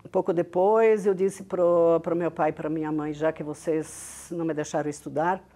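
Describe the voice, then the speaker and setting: An older woman with a strong Italian Veneto-flavored Brazilian accent speaks in a low, mellow voice. She talks in an unhurried, reflective way, proud of where she comes from. She reminisces as an interview guest. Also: steady tone. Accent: Italian Veneto-influenced Brazilian Portuguese. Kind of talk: reminiscing storytelling.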